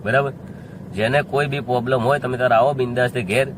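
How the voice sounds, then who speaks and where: A man with a North Gujarat accent speaks straight to viewers in an informal, insistent way. His mid-pitched voice is defiant and circles back to the same points, with clear noise behind it. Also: fairly even intonation, fast. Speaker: singer addressing community critics. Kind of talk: informal spoken address to viewers.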